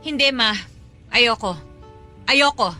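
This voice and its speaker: An actress playing a hurt, defiant young mistress in an emotional Tagalog audio drama, pleading and confrontational. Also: female voice, fairly high pitch, slow pace, pushed and bright, soft background music. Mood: upset, protesting.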